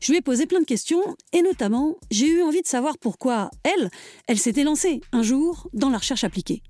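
Parisian woman, podcast narrator, slightly high mellow voice, dry close recording. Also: lively intonation, a little fast, no room sound. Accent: Parisian French. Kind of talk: scripted narration.

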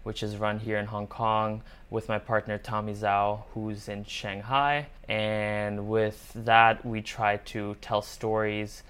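Interview guest: man in his thirties, raised in Germany, Hungarian first language, smooth resonant voice, easy storytelling with restarts. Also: fairly low pitch, level tone, slow, few pauses, fairly bright tone. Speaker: guest, skate videographer. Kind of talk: casual interview.

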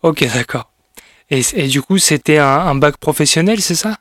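A man's voice: fairly high pitch, normal speed, fairly bright tone, dry recording, clean studio sound. A man hosting an interview podcast, asking friendly, informal questions and summing up what his guest says. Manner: friendly, informal, sums up and asks follow-ups. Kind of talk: interview conversation.

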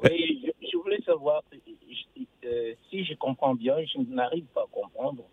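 A man's voice: medium pitch, huge pitch swings, measured pace, gravelly, slightly rough, no echo. A man from the Congo calling in to a radio show, speaking with impassioned emphasis over a phone line. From Democratic Republic of the Congo. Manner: impassioned, emphatic, swooping intonation. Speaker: caller. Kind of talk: call-in radio opinion.

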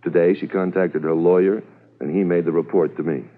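Brisk police commissioner, really low voice, old radio drama, muffled narrow sound. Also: male voice, steady tone. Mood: businesslike, briefing. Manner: authoritative, methodical. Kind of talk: 1950s radio drama acting.

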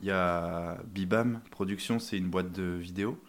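A young man speaks in a strikingly deep voice, pausing often and restarting his sentences. He talks casually in an interview about his band and his instrument. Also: fairly even intonation. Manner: hesitant, many fillers and restarts. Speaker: band member, sousaphone player. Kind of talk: interview conversation.

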